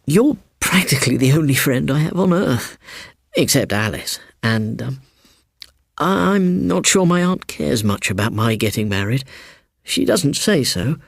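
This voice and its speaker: A man's voice: medium pitch, very animated, unhurried, creaky, slightly rough, no room sound, clean studio sound, voice pushed up, heavily acted. A man playing a nervous, evasive young man who speaks awkwardly in hesitant, broken sentences. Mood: awkward, pleading.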